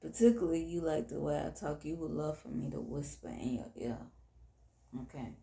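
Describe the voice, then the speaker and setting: A woman with a Southern US accent and a hoarse, tired voice, hosting an intimate late-night podcast monologue in deliberate, drawn-out phrases. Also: low-pitched, warm tone, roomy sound. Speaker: podcast host.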